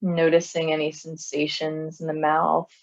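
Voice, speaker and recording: Slow, low-voiced woman guiding meditation. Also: highs cut off. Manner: calm, soothing, long pauses between phrases. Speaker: meditation teacher. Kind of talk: guided body scan meditation.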